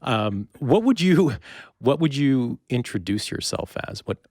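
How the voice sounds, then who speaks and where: A man hosting a casual interview, phrasing his questions in a halting, roundabout way. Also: mid-range voice, sing-song, a little mellow, dry recording, clean studio sound. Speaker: podcast host, interviewer.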